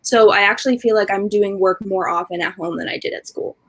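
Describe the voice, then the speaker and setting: A woman's voice: medium pitch, brisk. A young woman from Pennsylvania, a college student on a panel, speaking in an articulate and candid way. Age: young adult.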